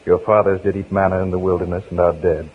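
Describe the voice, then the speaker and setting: An actor playing a solemn prison minister reciting formally in 1950s radio acting style. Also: male voice, low-pitched, flat delivery, fast. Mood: reverent. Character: patient male prison minister reading scripture aloud, solemn. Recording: noisy, tinny 1950s radio broadcast.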